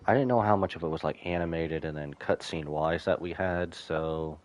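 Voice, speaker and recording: A man's voice: rumbling low, normal speed. A man casually commentating on a livestream as a game streamer, rambling and loosely thinking aloud. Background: music.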